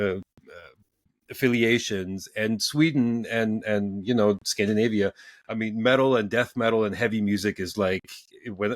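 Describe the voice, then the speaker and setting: A man in his forties hosting a casual podcast interview, talking in halting bursts with many restarts and repeated words. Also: fairly low pitch, no echo. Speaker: podcast host.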